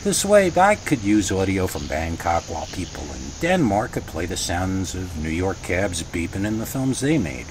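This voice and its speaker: A man reading a first-person scary story in a conversational way, with dramatic pauses. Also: sits low, very animated, background noise. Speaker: horror story reader.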